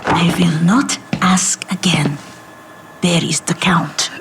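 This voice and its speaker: A woman's voice: fairly low pitch, fairly even intonation. A woman playing an obsessed, commanding female vampire in a horror audio drama, theatrical and menacing. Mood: menacing, hissing. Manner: theatrical, slow, swinging from hissed whispers to shrieks.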